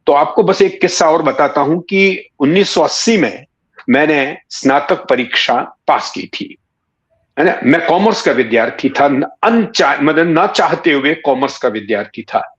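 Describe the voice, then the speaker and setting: A man's voice: fairly high pitch, animated. Man in his sixties, muffled recording. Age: 60s. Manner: unhurried storytelling with frequent hesitant pauses. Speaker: interview guest, writer and former cricket commentator. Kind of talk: reminiscing in a conversational interview. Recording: dull, muffled lo-fi audio.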